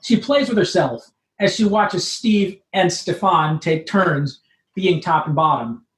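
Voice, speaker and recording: Animated woman reading in an echoey room. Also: on the low side, a little slow. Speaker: troupe member reading own comic story. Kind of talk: scripted comic narration, read aloud. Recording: roomy, echoing live room.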